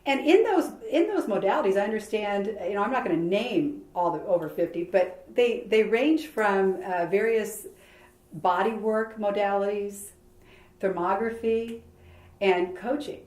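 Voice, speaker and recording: Upbeat lady host, lots of praise, mid pitch, roomy echo. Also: lively intonation, a little slow, darkish tone. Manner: effusive and enthusiastic, full of praise. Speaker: interview host. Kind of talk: casual interview chat. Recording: echoey room.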